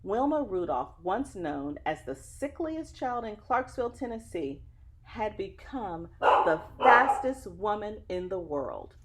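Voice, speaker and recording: A woman's voice: mid-range voice, very animated, slow, background noise. A woman reading a picture book aloud expressively and dramatically, adding chatty asides straight to her listeners. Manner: dramatic, engaging, addressing listeners directly. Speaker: read-aloud storyteller.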